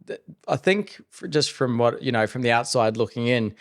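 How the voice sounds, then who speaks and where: Guy hosting a podcast, mid-pitched voice, chatty loose questions. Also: animated, moderate pace. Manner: chatty, asks questions in loose rambling bursts. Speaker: podcast host. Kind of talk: casual interview questions.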